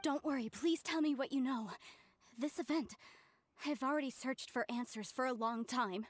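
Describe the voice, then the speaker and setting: A woman's voice: high voice, moderate pace. A woman playing an earnest, polite young history scholar in an anime game, speaking sincerely. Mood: earnest, pleading.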